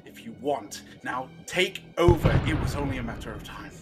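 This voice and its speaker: A man's voice: medium pitch, animated, moderate pace, over loud music, close to an everyday voice. Man playing a ruthless, theatrical villain scientist. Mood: cold, commanding. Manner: menacing, commanding, theatrical. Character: cold, ruthless male villain scientist with explosive temper. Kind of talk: audio drama acting.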